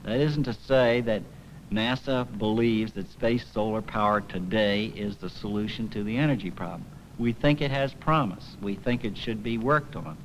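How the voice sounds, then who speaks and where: A man making a measured, emphatic formal statement as a spokesman. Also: on the low side, a little hiss or hum, rough recording.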